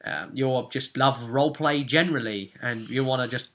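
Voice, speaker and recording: A man speaking as game master and host, casually recapping a tabletop roleplay session in an animated, explanatory manner. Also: medium pitch, moderate pace, a little hiss or hum.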